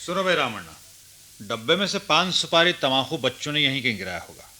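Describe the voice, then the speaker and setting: Animated, earnest village grandson. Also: male voice, mid-range voice, fairly quick, full character voice. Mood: puzzled, practical. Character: respectful, curious grown grandson, village householder. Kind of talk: Hindi radio play acting.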